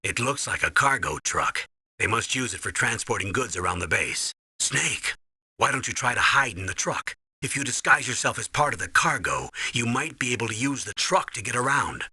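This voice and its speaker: Stern, hard-edged military commander. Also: male voice, mid-range voice, lively intonation, moderate pace, bright, forceful tone, husky, no room sound, full character voice. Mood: instructive, watchful. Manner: authoritative, urgent briefing. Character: stern older male military commander guiding a soldier. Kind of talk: video game voice acting, tutorial instructions.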